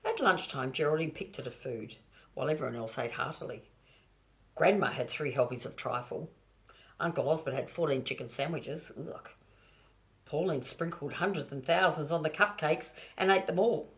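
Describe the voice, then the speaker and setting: An older woman with a fairly low voice reads a picture book aloud as a grandmother would. She is expressive and homely, breaking off for small asides addressed straight to the children. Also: moderate pace.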